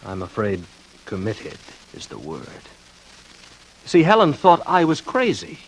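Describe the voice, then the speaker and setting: An actor playing a suave, sardonic escaped madman, affable on the surface and quietly menacing, in 1940s radio suspense. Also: lowish voice, huge pitch swings, measured pace, creaky, exaggerated voice. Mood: bitter, sardonic. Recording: noisy vintage radio recording.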